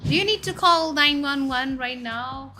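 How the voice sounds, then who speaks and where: Woman, 30s, Indian accent, VTuber host; unhurried, bright and chatty, soft music bed. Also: sits high. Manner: chatty, jumps between questions to chat, loud exclamations. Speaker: VTuber streamer. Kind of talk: casual chat reading viewer messages. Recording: faint background music under voice.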